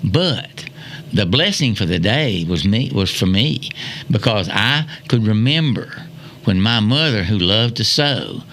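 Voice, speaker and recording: Older southern guy in his 70s spinning folksy memories, low bright voice, some noise behind him. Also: lively intonation, measured pace. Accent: Southern American. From Wilkes County, North Carolina, USA. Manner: rambling, repeats phrases for emphasis. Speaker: storyteller guest. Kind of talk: folksy reminiscing storytelling.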